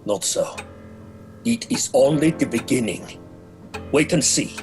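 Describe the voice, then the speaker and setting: A man's voice: mid-range voice, lively intonation, unhurried, faint music behind, close to an everyday voice. Man as grave vampire hunter, wildly swooping voice. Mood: grave warning. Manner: ominous, emphatic, declamatory. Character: grave, urgent male vampire-hunting professor. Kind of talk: theatrical horror audio drama.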